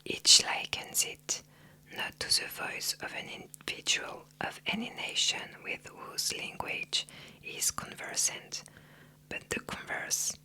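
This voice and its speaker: Calm methodical detective talking it through, all in a slow soft whisper, as the reader does him. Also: low-quality recording. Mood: analytical. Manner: measured, analytical, unvoiced whisper.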